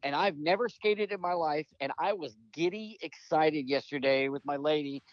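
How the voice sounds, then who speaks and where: Guy, 50s, higher-pitched, rambling enthusiast; dry, dull-sounding audio. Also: moderate pace, no room sound. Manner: rambling, enthusiastic, trailing restarts. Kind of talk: casual podcast conversation.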